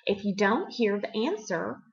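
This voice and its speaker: A middle-aged woman hosting a devotional for children, teaching in simple, expressive words and putting questions to the listener. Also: medium pitch, a little slow, gravelly, dull audio. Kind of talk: devotional talk addressed to children, with storytelling.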